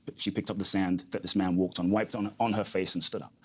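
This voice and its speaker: A man's voice: deep voice, little pitch movement, fast talker, breathy edge. A man, a guest speaker talking earnestly and reflectively in an interview, often repeating his key phrases. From grew up in London. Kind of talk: interview conversation.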